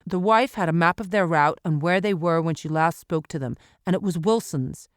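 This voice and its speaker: A woman audiobook narrator reading dramatic fiction in an expressive, measured storytelling manner in a studio. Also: on the low side, no echo. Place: dry recording studio.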